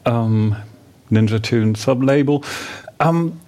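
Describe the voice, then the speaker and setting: A male radio host conducting an interview at a middle pitch. He asks questions haltingly, stumbling and repeating words before getting them out. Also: dark tone.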